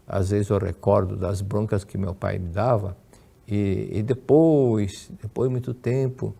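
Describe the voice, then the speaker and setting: Older Brazilian male lecturer, low gravelly voice, unhurried storytelling teacher. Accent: Brazilian Portuguese. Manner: warm storytelling, repeats and restarts words. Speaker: religious lecturer. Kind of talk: religious teaching with Q&A.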